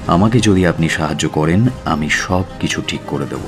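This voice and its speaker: Calm master detective, deep voice, measured delivery, commanding, radio play, background music. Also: male voice. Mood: firm, persuasive. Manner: measured, deliberate, with drawn-out hesitations. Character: shrewd, composed male detective, calm and commanding. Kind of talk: radio drama acting.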